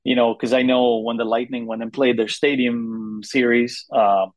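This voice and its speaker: A man's voice: mid-range voice, level tone, moderate pace, no echo. Swedish-born man, 30s, casual guest, rambling with fillers, dry close mic. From Sweden. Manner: rambling, many fillers and restarts. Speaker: retired hockey player, guest. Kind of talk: casual interview answers.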